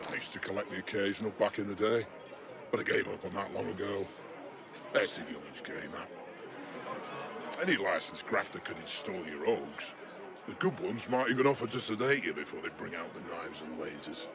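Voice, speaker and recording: An actor playing a chatty, jaded older working-class British local in a cyberpunk video game, speaking in a slangy, matey, sardonic way. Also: male voice, sits low, normal speed, crowd noise. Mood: matter-of-fact. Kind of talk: video game character dialogue.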